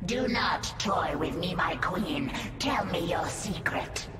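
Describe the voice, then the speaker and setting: A woman's voice: lowish voice, animated, takes it slowly, over loud music. A woman voicing a proud alien brood mother in a video game, with a hissing, whispery alien voice. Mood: eager. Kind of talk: video game cutscene voice acting.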